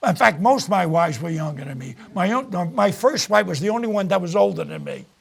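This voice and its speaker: Gravelly old New man, high pitch. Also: very animated, a little fast. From New York. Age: 90s. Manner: rambling, joking, trails off. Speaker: guest singer. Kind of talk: casual chat.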